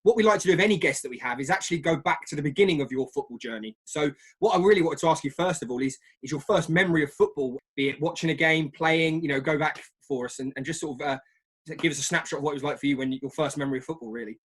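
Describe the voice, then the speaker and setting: English guy hosting a podcast, talks fast, bit higher pitched, chatty and polite. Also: a bit bright, husky. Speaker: podcast host interviewer. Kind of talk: podcast introduction and interview.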